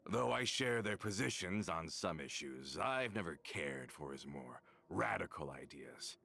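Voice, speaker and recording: An actor playing a bitter, vengeful middle-aged man in a game cutscene, accusing and resentful and rising to shouts, with a gravelly voice. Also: low voice, big pitch swings, a little slow, exaggerated voice. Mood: cold, bitter. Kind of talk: dubbed game voice acting.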